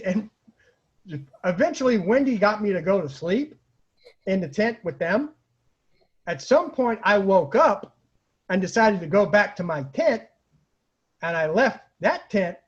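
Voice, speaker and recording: A man with a husky voice tells comic personal anecdotes in a casual, animated way, acting out the lines people said. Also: high voice. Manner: animated, acts out quoted lines, laughs at own stories. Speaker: storyteller, online show host. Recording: dull lo-fi home recording.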